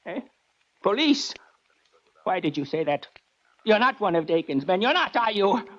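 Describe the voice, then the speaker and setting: An actor playing an elderly, paranoid book collector in a 1940s radio drama, querulous and halting, swinging between demands and pleas. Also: male voice, high voice, very animated, fairly bright tone, full character voice. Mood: frantic, furious. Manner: querulous, halting, imperious then pleading. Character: frail, obsessive, paranoid old male book collector. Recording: dull vintage radio recording.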